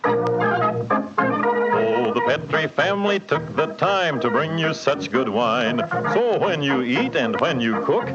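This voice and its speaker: A man's voice: mid-range voice, sing-song, slow. A man singing a 1940s radio advertising jingle. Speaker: jingle singer. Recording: muffled old radio, loud organ music.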